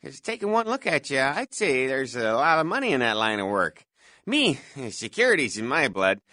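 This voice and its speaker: An actor playing a self-important, bumbling, folksy night-shift security guard in a video game, with officious, hesitant patter. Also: male voice, mid-range voice, sing-song, normal speed, bright, forceful tone, no room sound, clean studio sound. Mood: condescending, chummy. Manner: pompous, officious, hesitant filler-laden patter. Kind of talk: scripted video game voice lines.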